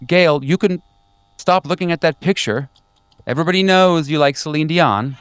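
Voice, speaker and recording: A man's voice: a little high, smooth, no room sound, pitched above the performer's own voice, lightly acted. A man voicing a fussy, chatty woman staffer with a folksy manner in a tabletop roleplay. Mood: teasing. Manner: folksy, chatty, quick to exclaim.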